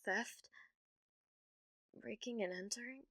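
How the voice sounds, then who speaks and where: A woman plays a lovestruck young witch with a British accent and a slightly high, breathy voice. She speaks slowly and haltingly, flustered and obsessive, often softening toward a whisper. It is an ASMR roleplay, recorded close and very dry. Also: no room sound. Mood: defensive, pleading. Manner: hesitant, stammering, nervous giggling. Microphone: close microphone, dry sound.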